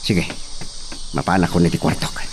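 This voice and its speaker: A man's voice: low-pitched, level tone, brisk, natural voice. A man playing an arrogant, hot-tempered young master who orders people about in a radio drama. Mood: scheming, bossy. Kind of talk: Ilocano radio drama acting. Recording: faint background noise.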